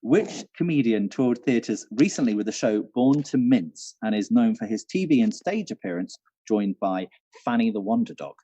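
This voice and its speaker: A man's voice: fairly low pitch, lively intonation, normal speed, few pauses, warm tone, husky, no room sound. A man hosting a casual live quiz from home, chatty and repeating questions for listeners, on a dull livestream recording. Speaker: quiz host, theatre venue manager.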